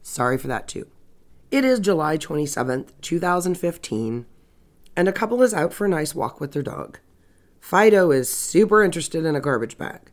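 A woman's voice: low-pitched, lively intonation, normal speed. A woman hosting a true crime podcast with a stuffy nose and a scratchy voice, narrating casually with wry, sarcastic asides. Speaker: podcast host.

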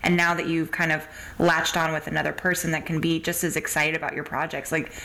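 Bright, lowish-voiced woman hosting casually. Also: little pitch movement, brisk, vocal fry, low-quality recording. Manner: agreeable, encouraging. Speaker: podcast host. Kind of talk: casual conversational interview.

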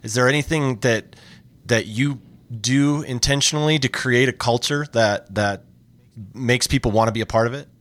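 A man's voice: medium pitch, normal speed. A man hosting an interview, asking questions in a friendly way with brief acknowledgments and a few restarts. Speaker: podcast host, interviewer. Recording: dry close sound.

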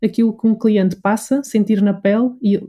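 Very mellow Portuguese woman explaining. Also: mid-range voice, fairly even intonation, normal speed. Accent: European Portuguese. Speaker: voice and communication coach, interview guest. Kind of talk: conversational interview explanation.

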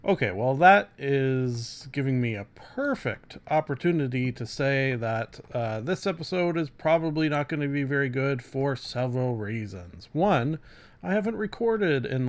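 A man hosting a casual solo podcast, rambling through reviews with self-deprecating asides. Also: mid-range voice. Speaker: podcast host.

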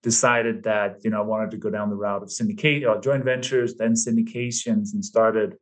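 A man in his fifties from Denmark with a slight Danish accent, a podcast guest telling his story in a relaxed way with fillers. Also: fairly low pitch, normal speed. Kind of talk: casual interview chat.

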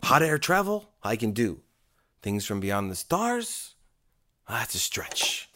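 A tired, doubting family man who writes radio scripts. He is dry and grumbling, with a mid-pitched voice that moves up and down a lot, in period drama dialogue. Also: big pitch swings, takes it slowly, pushed and bright, heavily acted. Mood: skeptical. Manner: weary, dry, sardonic.

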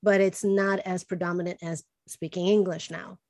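A woman's voice: fairly low pitch, flat delivery, normal speed, a little mellow, no echo. A woman from Chicago hosting a podcast interview in a friendly conversational way. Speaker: podcast host. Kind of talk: casual interview chat.

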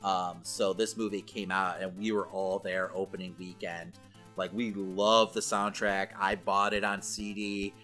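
A man's voice: low-pitched, flat delivery, unhurried, pushed and bright, soft background music. A man co-hosting a podcast, chatting informally like a longtime fan. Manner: informal, nostalgic fan talk. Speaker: podcast co-host. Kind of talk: casual chat.

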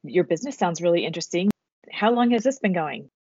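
A woman hosting an interview, speaking in a gentle, affirming way. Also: on the low side, animated, fast, husky, dry recording, dull audio. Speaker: interview host. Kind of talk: interview host.

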